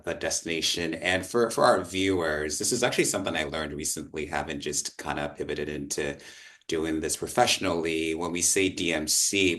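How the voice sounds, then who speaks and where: Host: man, very low pitch, upbeat and fluent. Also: fairly bright tone. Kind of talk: interview hosting.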